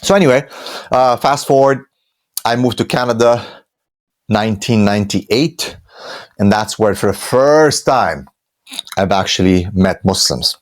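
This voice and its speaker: A man's voice: lowish voice, unhurried, long pauses. A man in his thirties with a Romanian accent, telling his life story as a podcast guest in a loose, conversational way full of fillers and restarts. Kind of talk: personal storytelling.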